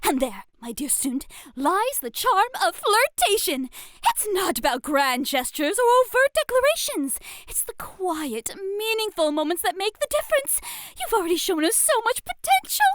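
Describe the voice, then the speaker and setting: A woman playing a playful, excitable lady tutor of flirtation in a scripted ASMR roleplay, speaking in a refined, gushing, theatrical manner. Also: very high voice, moderate pace, no room sound, clean studio sound, heavily acted. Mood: coy, instructive.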